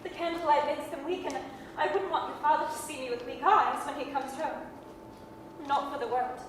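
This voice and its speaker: Woman playing a high-voiced grieving mother, echoey hall. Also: fairly even intonation, brisk, lightly acted. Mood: brave sorrow. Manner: tender, wistful. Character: gentle, grieving working-class mother, bravely composed. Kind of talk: 1930s radio drama staged reading. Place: performing arts center stage. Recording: echoey hall from far off, noisy.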